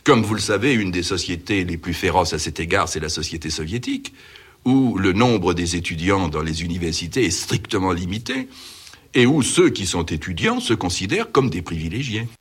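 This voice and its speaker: Deep male voice, lively intonation, formal, measured, rhetorical. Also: husky.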